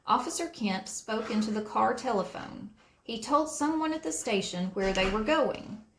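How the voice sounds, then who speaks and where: Expressive woman reading a children's story. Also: on the high side, normal speed, slight background noise. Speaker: storybook reader. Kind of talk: read-aloud narration for children. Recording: roomy, echoing sound.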